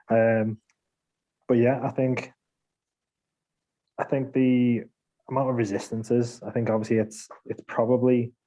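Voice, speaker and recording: Man, low-ish voice, warm and mellow, casual podcast panelist, rambling, self-deprecating. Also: low-quality recording. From Liverpool, England. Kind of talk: casual gaming chat.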